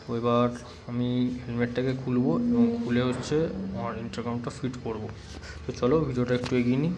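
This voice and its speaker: Male vlogger, mid pitch, even delivery, plain step-by-step tutorial style, noticeably noisy recording. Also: brisk. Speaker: motorcycle vlogger demonstrating a product. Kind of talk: casual hands-on tutorial narration.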